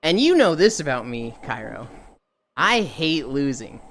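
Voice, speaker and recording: Lively rambling woman streamer, low voice. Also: measured pace, a little hiss or hum. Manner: rambling, swears, laughs easily. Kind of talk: casual chat while streaming.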